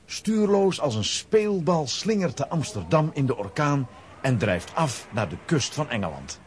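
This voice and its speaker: A man narrating a children's radio play in a dramatic, expressive storytelling style. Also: a little high, unhurried, a little hiss or hum.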